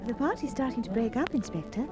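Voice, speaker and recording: Actress doing posh aussie society lady in an old radio play, high voice, very animated, polite and plausible. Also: quick pace, dull audio, over music, natural voice. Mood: quietly confident. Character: well-to-do society woman, plausible and eager, secretly a thief. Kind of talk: Golden Age radio drama acting. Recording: old radio drama recording.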